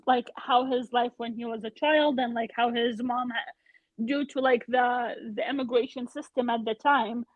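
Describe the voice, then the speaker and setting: A woman's voice: fairly high pitch, no echo. Woman from Iraq on a tinny call. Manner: animated, frustrated, loud with many fillers. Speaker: podcast guest. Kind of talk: casual conversation. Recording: tinny phone-like line, dry.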